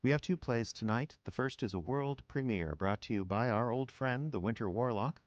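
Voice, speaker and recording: A man hosting a radio drama broadcast, reading a measured, theatrical introduction. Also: mid-range voice, dry recording, lo-fi sound. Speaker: host and announcer. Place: small indoor rooms used as studio.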